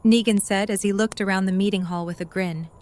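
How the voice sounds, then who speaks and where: A woman's voice: mid-range voice, fairly even intonation, fairly quick, a little mellow, slight background noise. A woman reading scripted third-person narration for an audio drama.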